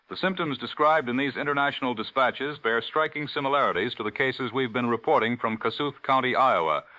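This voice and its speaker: A man playing a grave, middle-aged 1940s radio news anchor, formal and measured, through an old radio filter. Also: mid-range voice, bright, forceful tone. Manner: formal, measured newsreader delivery. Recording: dull lo-fi vintage radio broadcast.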